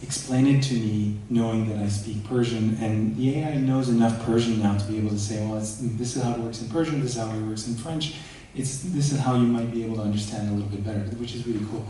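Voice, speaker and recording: A man speaking on a conference panel, explaining in an animated way, recorded from far off in an echoey hall. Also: medium pitch, fast, hardly any pauses, slight background noise.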